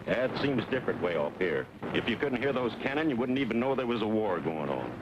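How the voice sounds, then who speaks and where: Union soldier, very animated pitch. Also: male voice, fairly quick, muffled recording. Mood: casual. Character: deferential Union soldier serving his colonel. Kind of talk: old western film acting. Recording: noisy old film soundtrack.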